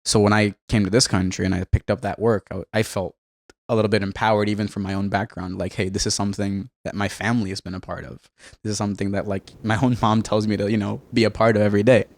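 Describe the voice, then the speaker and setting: Earnest young man, low and dry. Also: level tone, quick pace, creaky, no echo. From Bangladesh. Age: young adult. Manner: earnest, filler-heavy with frequent "like" and "you know". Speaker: community organizer, podcast guest. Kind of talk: casual interview talk.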